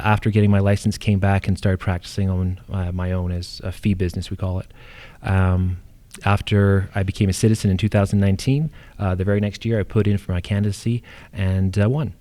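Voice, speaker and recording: A middle-aged British man introduces himself as a political candidate. His voice is low and very mellow, and he speaks haltingly, with frequent hesitations and repeated words. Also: little pitch movement. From United Kingdom. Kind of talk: candidate introduction speech at a public forum.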